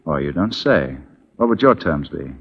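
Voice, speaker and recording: An actor playing a confident, wry Union captain in a 1940s radio drama, nonchalant and dryly understated. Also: male voice, a bass voice, sing-song, moderate pace, breathy edge, exaggerated voice. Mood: dry, unruffled. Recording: muffled 1940s radio recording.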